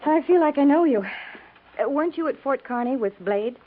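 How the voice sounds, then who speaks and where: An American actress playing a practical, seasoned frontier army wife, neighborly but grave, speaking in a measured, matter-of-fact way in a 1950s radio drama. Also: female voice, sits high, animated, a little hiss or hum. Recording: tinny, narrow-band 1950s radio recording.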